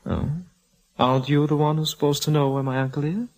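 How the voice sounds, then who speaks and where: A man playing a sly, smug villain with mocking courtesy and a husky voice in 1940s radio detective drama. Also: a little high, little pitch movement, a little fast, darkish tone, natural voice. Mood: smug, flirtatious.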